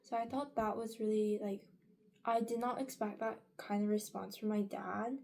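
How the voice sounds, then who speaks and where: A woman's voice: mid-range voice, steady tone, normal speed, creaky, a little mellow. A young woman in her late teens chatting casually in a get-ready-with-me vlog, rambling with many fillers and restarts. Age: young adult. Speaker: vlogger.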